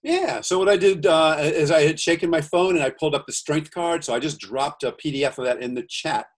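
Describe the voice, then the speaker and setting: A man from the USA, a guest entrepreneur, chatting earnestly on a video call and reading aloud slowly. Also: on the high side. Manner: earnest, reads cards slowly and deliberately. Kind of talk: casual video-call chat.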